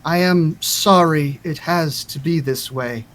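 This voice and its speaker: A man voicing a regretful winged celestial warrior in a tabletop game, speaking formally and apologetically in measured phrases. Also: on the high side, a bit bright. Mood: solemn, regretful.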